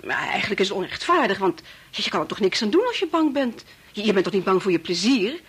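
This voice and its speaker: A woman's voice: mid-range voice, very animated, fast talker, breathy edge. An actress with a Netherlands Dutch accent playing a thoughtful, understanding woman who speaks reflectively in a radio drama. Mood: reflective, earnest. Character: thoughtful, understanding woman, researcher's daughter-in-law.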